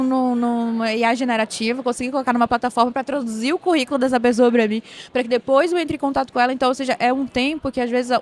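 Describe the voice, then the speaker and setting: A woman in her twenties from northern Brazil with a Pará accent, a guest explaining things animatedly in a podcast interview, full of restarts and "né". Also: high voice. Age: 20s. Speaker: guest, operations manager. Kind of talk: podcast interview at a tech event.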